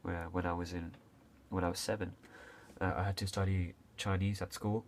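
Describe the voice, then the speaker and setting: Young guy from hong kong, really low voice, lots of uhs and restarts, bit of faint hiss. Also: little pitch movement, moderate pace. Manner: hesitant, many fillers and restarts, occasional coughs. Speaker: video creator. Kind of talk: casual unscripted talk to camera.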